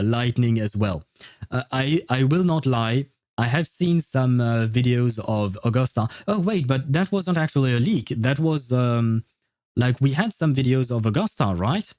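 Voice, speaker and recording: A man's voice: medium pitch, darkish tone, no echo, studio quality. A man chatting casually as a game livestream host, rambling and opinionated. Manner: rambling, opinionated, often chuckling. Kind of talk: casual gaming livestream chat.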